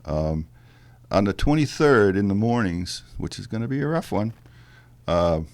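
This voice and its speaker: A male musician with a deep bass-baritone voice, talking in a halting, thoughtful way with fillers and restarts in a casual interview. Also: big pitch swings, darkish tone. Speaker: musician guest.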